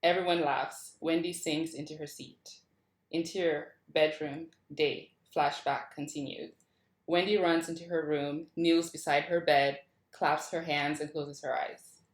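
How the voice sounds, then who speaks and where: Low-voiced woman reading stage directions, roomy sound. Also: steady tone, a little slow. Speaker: table read host and stage directions narrator. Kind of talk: script read aloud at a casual table read.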